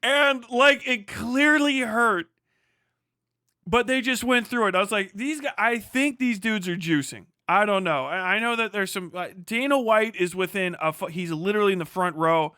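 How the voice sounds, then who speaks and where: A man's voice: sits high, moderate pace, bright, forceful tone, clean tone, dry recording, studio quality. A man hosting a livestream with casual, opinionated commentary, rambling and emphatic, swearing freely. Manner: rambling, heavily profane, emphatic. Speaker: livestream host.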